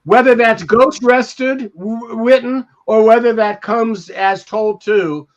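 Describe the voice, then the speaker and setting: An older American man on a podcast, telling anecdotes and reading from a memoir in a halting, reflective way. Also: very high voice. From Beverly Hills, California. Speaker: podcast co-host. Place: indoors at home, on a video call. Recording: dull lo-fi video call audio.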